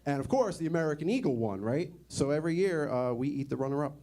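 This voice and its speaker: A man's voice: a little high, expressive, quick pace, very noisy. Sardonic man working a comedy crowd. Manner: conversational, sardonic, quizzes the audience. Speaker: stand-up comedian. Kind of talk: stand-up comedy set. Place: comedy club stage.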